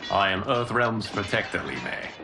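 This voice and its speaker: A man playing a solemn protector god in a video game, with grave, formal, measured phrasing. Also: fairly low pitch, animated, gravelly, over music. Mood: solemn, steadfast. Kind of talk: dramatic video game cutscene acting.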